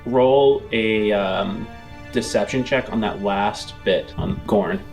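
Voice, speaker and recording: A man's voice: fairly low pitch, takes it slowly, darkish tone. A man running a tabletop game as game master, improvising descriptive narration in a conversational way. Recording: music playing under the voice.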